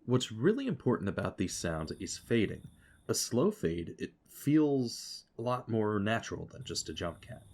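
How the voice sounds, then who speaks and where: A man's voice: lowish voice, sing-song, moderate pace, noisy background. A man giving an explanatory tutorial voice-over as an audio producer, instructive and speaking directly to the listener.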